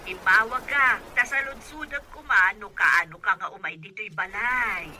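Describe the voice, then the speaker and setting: A woman's voice: mid-range voice, normal speed, bright, forceful tone, a little hiss or hum. A woman playing an eager shopkeeper aunt talking to her niece in an Ilocano radio comedy drama. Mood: eager, coaxing.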